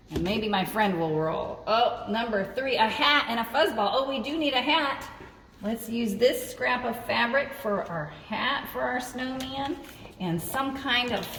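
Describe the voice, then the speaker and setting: Slow-paced woman in an echoey room. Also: a little high, fairly bright tone. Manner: sing-song, thinking aloud to young children. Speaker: children's librarian hosting storytime. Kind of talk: children's craft and game demonstration. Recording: echoey, reverberant room, distant mic, faint noise.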